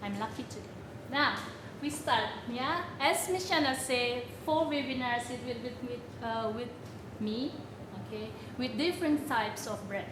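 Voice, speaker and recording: Chatty woman chef, higher voice. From Indonesia. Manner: friendly, chatty, halting English. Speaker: chef instructor. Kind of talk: live cooking webinar. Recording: echoey room with background noise.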